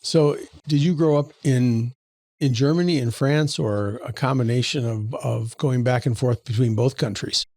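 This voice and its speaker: Mellow-voiced male podcast host. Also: mid-range voice, normal speed, no room sound, studio quality. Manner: conversational, rephrases questions with repeated words. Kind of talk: interview questions.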